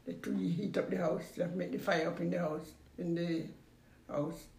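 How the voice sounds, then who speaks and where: A woman in her eighties with a Jamaican accent, recalling her past in an unhurried way that repeats phrases and trails off. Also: lowish voice, fairly even intonation, creaky, darkish tone. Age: 80s. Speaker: interviewee. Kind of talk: casual recollection. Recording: roomy recording with faint background noise.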